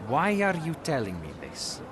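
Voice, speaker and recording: A man's voice: fairly high pitch, huge pitch swings, moderate pace, background noise. An actor playing a wary, blunt male recruiter for a dig in a video game.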